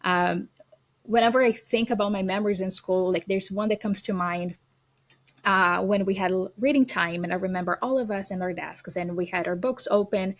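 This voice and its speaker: A woman's voice: medium pitch, normal speed. A woman from Brazil with a Brazilian accent, a guest on an interview podcast, telling earnest stories with frequent fillers and restarts. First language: Portuguese. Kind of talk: casual interview.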